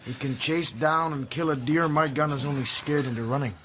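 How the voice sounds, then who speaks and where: A man's voice: on the high side, brisk, fairly bright tone, slight background noise, lightly acted. An actor playing a proud gold prospector who coaxes his dog with fervent love, in a radio drama. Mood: boastful. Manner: fervent, coaxing.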